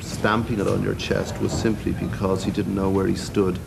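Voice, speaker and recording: A man giving a blunt, measured documentary interview. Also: deep voice, level tone, creaky, very noisy.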